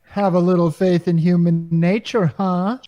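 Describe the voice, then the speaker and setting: Man acting a high-voiced, wisecracking weary repairman. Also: little pitch movement, unhurried, warm tone. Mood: sardonic. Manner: sarcastic, exasperated, slangy. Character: weary, wisecracking working-class male repairman. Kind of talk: radio comedy acting.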